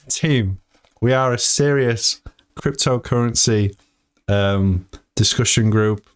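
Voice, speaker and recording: Male streamer in his 30s, chatty and joking, unhurried delivery. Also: medium pitch. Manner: jokey, laughs often, chatty. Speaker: live stream host. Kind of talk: casual live-stream chat. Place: hot room indoors.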